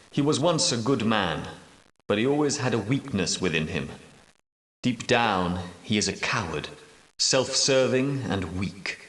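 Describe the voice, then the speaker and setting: An old knightly guardian of a holy relic in a video game. His voice is low, solemn and portentous, with formal phrasing. It rings in a roomy echo, a little distant from the microphone. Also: a bit bright. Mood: grave, reflective. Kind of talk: video game dramatic dialogue.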